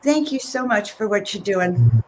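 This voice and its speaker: A woman's voice: medium pitch, sing-song, a little slow, darkish tone, slight background noise, poor audio. A woman co-hosting an online conference who enthusiastically introduces the next guest over a video call. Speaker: conference co-host. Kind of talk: casual online chat.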